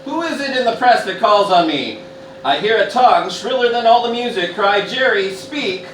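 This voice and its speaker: A man's voice: sits high, animated, normal speed, a bit bright. A man playing a bumbling, self-important ruler with hesitant mock-regal delivery in a comic Shakespearean radio play. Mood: puzzled, pompous. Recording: echoey room, distant, noisy.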